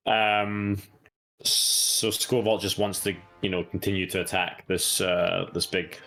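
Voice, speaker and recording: Deep, bright-toned man with hesitant speech. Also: fairly even intonation, takes it slowly, soft background music, poor audio. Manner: hesitant, with many fillers. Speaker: player. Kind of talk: casual tabletop game chat.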